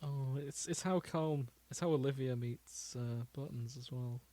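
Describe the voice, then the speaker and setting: A man co-hosting a podcast in casual, rambling chat, informal and quick to laugh. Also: medium pitch, warm tone. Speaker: podcast co-host.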